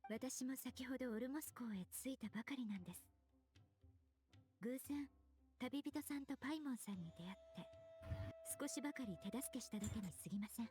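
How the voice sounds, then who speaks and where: A woman playing a polite, knowledgeable young perfumer in a video game, speaking in a formal, measured, explanatory manner. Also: fairly high pitch, expressive, darkish tone, lightly acted. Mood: polite, inquiring. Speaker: voice actor. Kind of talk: scripted game dialogue. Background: background music.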